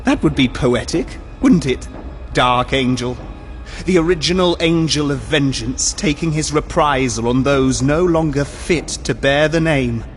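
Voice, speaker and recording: A man's voice: on the high side, soft background music, close to an everyday voice. A man playing a sinister demon in a dead soldier's body, mocking and wordy, in an audio drama. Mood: taunting. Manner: mocking, verbose, savouring slow threats. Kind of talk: audio drama villain acting.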